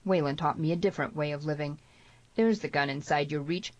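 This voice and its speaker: Woman as a stern, low-voiced Western gunman. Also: brisk, no room sound, lo-fi sound, natural voice. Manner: firm, challenging. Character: stern, proud young Western gunman, a man, steely and defiant.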